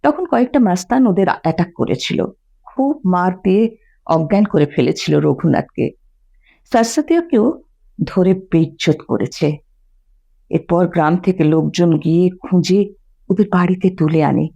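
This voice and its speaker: A woman's voice: lowish voice, expressive, a little slow, vocal fry, long pauses, mellow, exaggerated voice. A woman voicing a troubled, loyal village man, aggrieved and deferential, confiding in dialogue from an audio story. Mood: grave, distressed.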